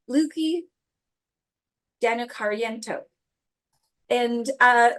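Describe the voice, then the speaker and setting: A woman from a career services office, upbeat and promotional, introducing programs and a guest speaker on an online video call. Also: mid-range voice. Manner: upbeat, promotional, some restarts. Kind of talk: webinar introduction.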